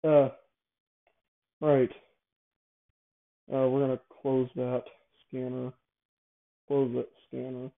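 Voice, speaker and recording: Man on thin, phone-like audio, halting delivery. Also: mid-range voice, monotone, creaky. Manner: halting, self-correcting, repetitive phrasing. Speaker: show host. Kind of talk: casual improvised monologue, explaining a device idea.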